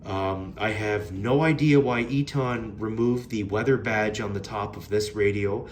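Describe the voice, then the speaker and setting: A man's voice: lowish voice, measured pace, a little hiss or hum. A man giving a casual, unscripted product review in a plain-spoken, mildly critical way. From Canada.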